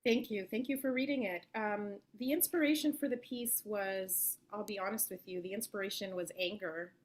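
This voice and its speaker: A woman in her thirties, a journalist, giving a thoughtful and articulate account of her path into her work, with soft fillers. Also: mid-range voice, moderate pace. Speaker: guest journalist. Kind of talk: reflective interview answers.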